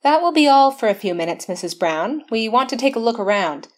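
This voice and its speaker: An actress playing a shrewd, matter-of-fact police sergeant in an old-time radio mystery. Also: female voice, a little high, animated, a little fast, close to an everyday voice. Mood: knowing.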